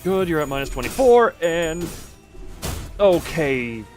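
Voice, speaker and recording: A man's voice: a little high, very animated, slow pace, a little mellow. A man giving casual live game commentary, thinking aloud in mutters and sudden exclamations as he talks to himself and his chat. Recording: music playing behind the voice. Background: game music.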